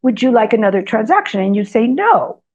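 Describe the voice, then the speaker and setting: A woman's voice: on the high side, fairly quick. Hesitant Greek-native woman telling stories. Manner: hesitant, lots of ums, animated when telling anecdotes. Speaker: guest author. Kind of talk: storytelling in an interview. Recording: slightly dull lo-fi sound.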